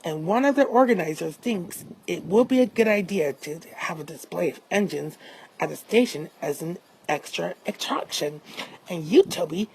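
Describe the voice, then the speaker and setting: A woman's voice: on the low side, expressive, normal speed, gravelly, darkish tone, slight background noise, low-quality recording. A woman voicing a loyal male engine driver in a children's storybook, matter-of-fact and chummy. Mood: explaining.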